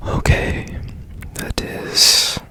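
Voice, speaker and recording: A man whispering in a breathy, deliberate way up close to the mic, in an ASMR roleplay as a mysterious repairman. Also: sharp tone, a little hiss or hum. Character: strange secretive man repairing a broken robot. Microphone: close ASMR microphone.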